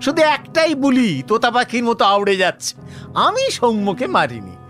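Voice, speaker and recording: Cocksure police officer, swooping animated voice. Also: male voice, extremely high pitch, moderate pace, faint music behind, full character voice. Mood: mocking. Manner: colloquial, scoffing, rhetorical tags. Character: Anishchay, talkative cocksure male police officer, smug and sardonic. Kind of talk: Bengali audio story acting, conversational.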